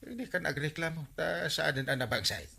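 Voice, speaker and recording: Animated, needling Ilocano village buddy. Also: male voice, fairly high pitch, moderate pace, vocal fry, slightly rough, exaggerated voice. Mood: needling, excitable. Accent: Filipino, Ilocano. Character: bossy, excitable village buddy, fond of drink. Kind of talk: comedic radio drama acting.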